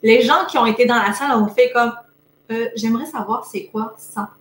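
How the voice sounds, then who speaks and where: A woman's voice: on the high side, moderate pace, echoey room. Chatty woman, Montpellier-Québec accent. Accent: Montpellier French mixed with Québécois. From France. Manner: chatty, upbeat, lots of Québécois fillers. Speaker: productivity coach. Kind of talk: casual interview.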